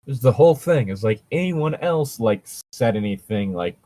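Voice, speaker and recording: A man's voice: medium pitch, lively intonation, moderate pace, mellow. A man in casual commentary chat, opinionated and argumentative, swearing freely as he talks. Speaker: co-host reading and reacting to fan fiction.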